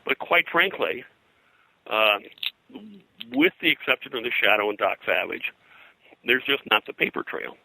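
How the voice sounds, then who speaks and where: An older man reminiscing as an interview guest, rambling and halting, often repeating words as he goes. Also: mid-range voice, very animated, vocal fry, sharp tone, no room sound, poor audio. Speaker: interview guest, pop culture historian and pulp publisher.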